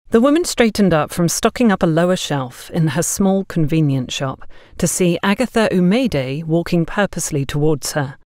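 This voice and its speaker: A woman narrating a scripted audio story in a measured, expressive storytelling manner. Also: on the low side, dry recording, studio quality.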